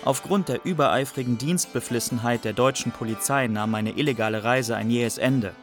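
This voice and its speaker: A man's voice: medium pitch, moderate pace, faint music behind, natural voice. A man playing a resourceful, wry young refugee narrating in a literary radio play. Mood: wry, factual.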